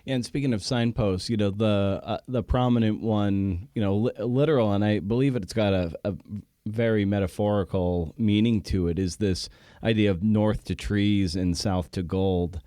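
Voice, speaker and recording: A man's voice: on the low side, fairly even intonation, moderate pace, mellow, no room sound, clean studio sound. A man hosting a podcast interview, musing casually and tentatively as he frames his questions.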